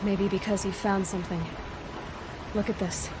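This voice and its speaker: An actress playing a resourceful, calm and focused young huntress in video game dialogue. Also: female voice, mid-range voice, moderate pace, close to an everyday voice. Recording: noisy outdoor game ambience behind.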